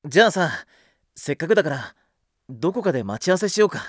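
Audio drama protagonist: kind, informal teenage schoolboy, blunt delivery with animated, swinging pitch. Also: male voice, fast, husky, dry recording, clean studio sound. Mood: determined, curious. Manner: blunt, informal boyish speech. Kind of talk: Japanese anime audio drama acting.

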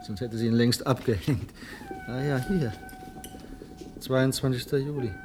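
A man's voice: medium pitch, steady tone, measured pace, noisy background, close to an everyday voice. An actor playing an absent-minded, obsessive and evasive male researcher in a radio drama, hesitant and easily riled. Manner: distracted, hesitant, flaring up arrogantly. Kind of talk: 1980s radio drama acting.

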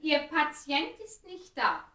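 An actress playing a courteous, efficient secretary who speaks formally and attentively in a German radio play. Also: female voice, high voice, very animated, slow, pushed and bright, heavily acted. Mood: polite, concerned. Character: courteous, efficient female secretary receiving guests.

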